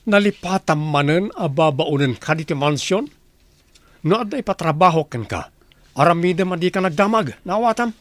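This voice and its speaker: A man's voice: on the high side, expressive, normal speed, no echo, natural voice. A man playing a stern, bossy mansion master in an Ilocano comedy radio drama, commanding and scolding with an Ilocano accent. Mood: irritated.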